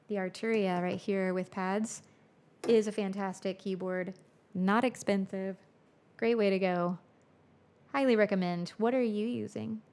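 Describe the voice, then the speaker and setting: Chatty woman with a gravelly edge. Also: medium pitch, a little mellow. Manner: chatty, reads and answers live chat, switches into Spanish. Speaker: musician livestream host. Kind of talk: casual livestream chat with viewers. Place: home music studio.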